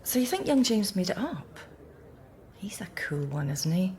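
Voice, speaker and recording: Actress: quick-witted, teasing Scottish female detective, radio drama, light noise behind. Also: mid-range voice, sing-song, a little mellow, lightly acted.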